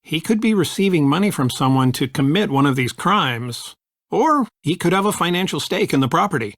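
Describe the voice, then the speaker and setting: Calm, affectionate American dad. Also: male voice, on the high side, brisk, no room sound, studio quality. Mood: thoughtful, calm. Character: warm, supportive middle-aged father, level-headed advisor. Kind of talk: video game voice acting.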